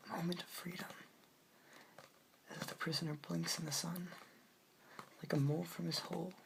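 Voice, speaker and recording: A woman doing a soft-spoken ASMR poetry reading in a breathy, unhurried whisper. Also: fairly low pitch, little pitch movement. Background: hiss, clicks from tapping and page turning.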